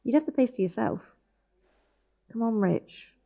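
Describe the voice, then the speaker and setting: A woman's voice: on the high side, lively intonation, a little slow, vocal fry, mellow, heavily acted. A woman playing a protective, bossy older sister in a naturalistic audio drama, firm and insistent. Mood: coaxing.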